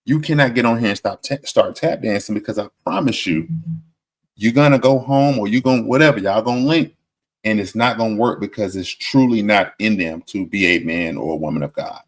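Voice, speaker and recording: A man's voice: fairly low pitch, brisk. A man commenting on a dating show clip, giving emphatic, sermon-like advice and repeating his warnings. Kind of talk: preachy reaction commentary.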